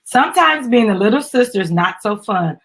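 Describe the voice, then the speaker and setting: Husky woman reading a storybook aloud. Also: medium pitch, expressive, fairly quick, fairly bright tone. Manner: upbeat, prompting and encouraging a small child. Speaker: host and mother reading a children's book aloud. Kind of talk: live dramatic storybook reading with chatty asides.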